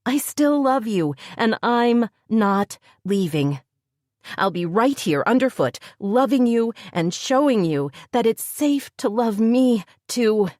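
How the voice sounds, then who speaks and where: A woman's voice: on the high side, expressive, slow pace, darkish tone, dry recording, studio quality, voice pushed up. A woman voicing an earnest young woman declaring her love, with a tender, pleading delivery.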